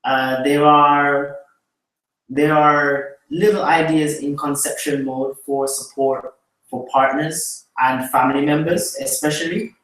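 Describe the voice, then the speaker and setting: A man's voice: fairly high pitch, fairly even intonation, slow, a bit bright. A man hosting a live call-in show, laughing often and restarting his questions. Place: small studio with a plain backdrop. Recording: echoey room from far off.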